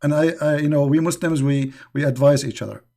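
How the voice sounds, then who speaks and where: A man with a fairly high voice whose first language is Arabic hosts a casual livestream, telling stories to his chat. He talks in quick, mocking bursts, jumps between retold quotes and asides, and leans on fillers and restarts in his non-native English. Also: steady tone, gravelly, darkish tone. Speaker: livestream host. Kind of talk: casual storytelling to a live chat.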